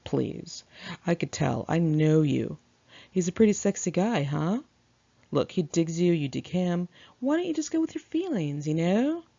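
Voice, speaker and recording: Woman acting a young male rocker, flamboyant, drawn-out teasing speech, husky, audiobook dialogue, slightly dull recording. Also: on the low side, very animated, dark tone, heavily acted. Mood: coaxing, teasing. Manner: drawn-out, coaxing.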